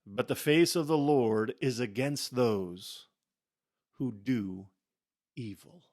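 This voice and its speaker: A man giving a dramatic scripture reading in a solemn, emphatic way, with deliberate pauses and phrases that fall away softly. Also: medium pitch, no echo, studio quality. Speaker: scripture reader.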